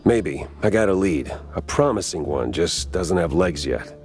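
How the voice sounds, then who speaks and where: Cartoon mouse detective, very low register, expressive pitch, hard-boiled, deadpan, wisecracking, game noir, music behind. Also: male voice, a little slow. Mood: guarded, wry. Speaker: detective protagonist and narrator. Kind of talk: 1930s film noir detective voice acting.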